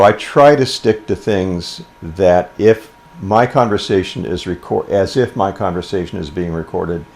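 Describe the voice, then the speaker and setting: An older man giving an informal instructional talk about a hobby, speaking plainly and with deliberate phrasing. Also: low voice, vocal fry, darkish tone. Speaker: amateur radio presenter.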